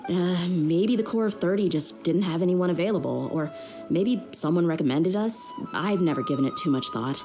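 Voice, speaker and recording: A woman's voice: on the low side, moderate pace, dark tone, faint music behind, close to an everyday voice. An actress playing a young female mercenary bodyguard in a game, speaking frankly and directly. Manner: frank, direct, plain-spoken. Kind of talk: game voice acting.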